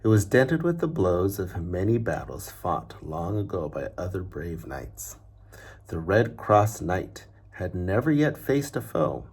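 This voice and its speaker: Deep, husky-voiced man reading a storybook. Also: a little mellow. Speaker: father reading a storybook aloud to children. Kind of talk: storybook read-aloud.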